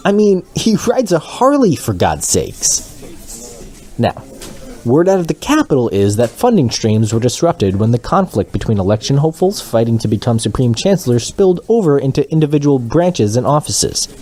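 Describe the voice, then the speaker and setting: A man's voice: medium pitch, a little mellow. A man playing a sanctimonious, condescending radio news co-anchor who reads satirical bulletins with mock earnestness. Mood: smug, mocking.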